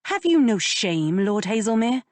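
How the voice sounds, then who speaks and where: A woman voicing a quick-tempered, sharp-tongued young lady who speaks in a tart, exasperated way. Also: mid-range voice, very animated, dry recording, pitched above the performer's own voice. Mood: indignant.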